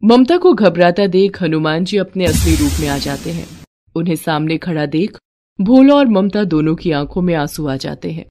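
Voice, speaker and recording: A woman's voice: fairly low pitch, lively intonation, normal speed, dark tone, slight background noise. A woman narrator reading a scripted bedtime moral story.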